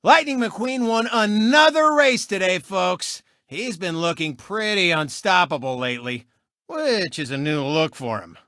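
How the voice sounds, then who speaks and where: A cocky cartoon race car holds forth as a gloating sports-show host in a racing game. The delivery is bright and forceful, swinging widely in pitch, smug and mocking, on a very dry, close recording. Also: male voice, lively intonation, measured pace, dry recording, heavily acted. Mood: showy commentary. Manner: smug, showy, exclamatory, mocking. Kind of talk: animated sports commentary and taunting.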